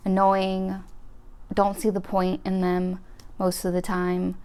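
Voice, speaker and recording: A young woman, talking to the camera in a flat, monotone way about her own life. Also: medium pitch, takes it slowly, clean tone. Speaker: vlogger. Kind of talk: personal talk to camera.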